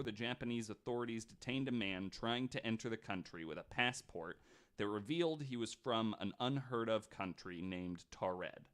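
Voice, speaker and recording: A man's voice: mid-range voice, a bit bright. A man hosting a podcast, telling a story in casual chat, reading quotes aloud with lots of fillers. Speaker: podcast host.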